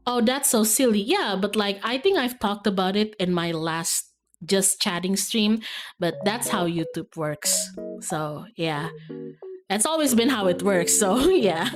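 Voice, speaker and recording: A woman is livestreaming, talking casually to her viewers with music clearly audible behind her. She rambles playfully in a giggly way, at an unhurried pace and a middle pitch for a woman. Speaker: streamer. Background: background music.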